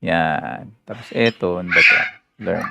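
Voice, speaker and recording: A Filipino-accented man livestreaming a game in a casual, self-directed mutter. His voice is fairly low with noticeable creak, and game music plays clearly behind him. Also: moderate pace. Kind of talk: casual live gameplay commentary.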